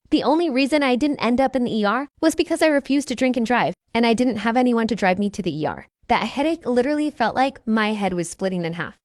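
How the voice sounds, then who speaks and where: A woman's voice: fairly high pitch, no room sound, clean studio sound. A young woman vlogger telling a personal story in a candid, confessional way, with a voice filter on her voice.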